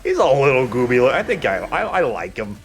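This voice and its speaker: A man's voice: medium pitch, big pitch swings, a little fast. A man giving casual, opinionated and sometimes sweary video game commentary alongside a co-host. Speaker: let's play commentator. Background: game music.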